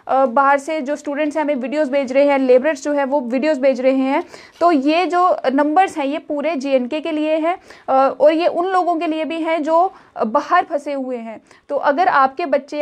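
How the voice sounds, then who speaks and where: A woman presenting an informal news update, explaining public information directly to viewers in a repetitive, explanatory way. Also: sits high.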